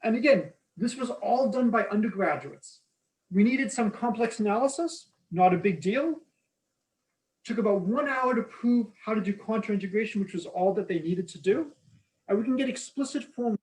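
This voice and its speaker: A man's voice: high-pitched, expressive, normal speed, a little mellow, rough recording. A man with a Boston accent giving an academic math lecture, explaining slides in an informal, enthusiastic way with casual asides. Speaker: mathematics lecturer.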